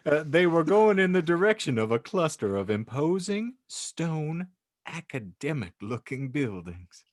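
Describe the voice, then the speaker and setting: Male host narrating in a theatrical, wry read, lively pitch, dry close sound. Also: unhurried, no echo. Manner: theatrical, wry, breaks into laughter. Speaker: podcast host reading as narrator. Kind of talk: comedic dramatic reading with asides.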